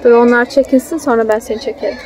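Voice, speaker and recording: A woman's voice: a little high, steady tone, very fast, darkish tone, low-quality recording. A woman in her thirties talking casually to her small child, pointing things out and explaining, with coaxing endearments. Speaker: mother filming her child. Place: open-air children's park. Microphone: handheld phone, speaker behind the camera. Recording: outdoor phone video with faint crowd noise.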